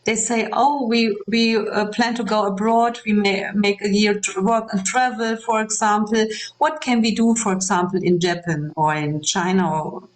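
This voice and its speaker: A German woman speaks English with a clear German accent and a voice of middling pitch. She talks slowly and carefully, filling pauses with ums and restarting sentences as she explains ideas to a discussion group. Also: fairly even intonation, rough recording. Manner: hesitant, many ums and restarts. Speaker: guest lecturer. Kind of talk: panel discussion.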